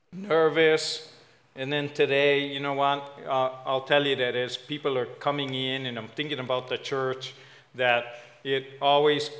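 A man from Hawaii with a Hawaiian accent, filling in as guest preacher and giving a casual, halting sermon. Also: mid-range voice, fairly bright tone. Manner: casual, self-deprecating, halting with fillers.

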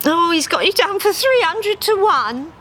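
Woman acting a brash, bossy lady duck, high and swooping. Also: big pitch swings, a little slow, bright, forceful tone, exaggerated voice. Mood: sheepish. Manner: brash, colloquial, demanding. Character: bossy, scheming female duck dance teacher. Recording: live theatre recording with background noise.

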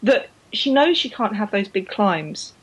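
A woman co-hosts a casual podcast about racing, her voice a little husky. She talks in quick, chatty bursts, breaks into laughter and restarts her sentences often. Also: medium pitch, lively intonation, poor audio. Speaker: podcast co-host. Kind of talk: casual chat about women's cycling.

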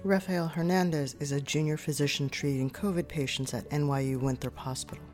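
Lady radio host doing the station intro, crisp and scripted, guitar playing under her. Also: low-pitched, fairly even intonation, brisk. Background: guitar music.

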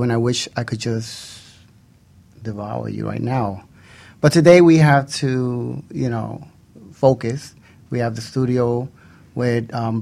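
A man's voice: medium pitch, vocal fry, dark tone. A man co-hosting a radio show in a studio with casual, flirtatious banter.

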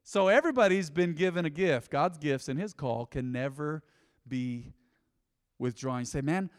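A man preaching a sermon as pastor, animated and conversational, with frequent asides to the congregation. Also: a little high, moderate pace, long reverb tail. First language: English. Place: church.

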